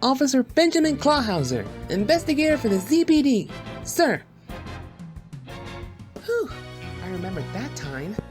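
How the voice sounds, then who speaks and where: Lively, flustered cheetah policeman voiced by a woman. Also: sits high, normal speed, pauses often. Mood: eager. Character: timid, eager male cheetah police officer, easily flustered. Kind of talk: courtroom audio drama acting. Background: music.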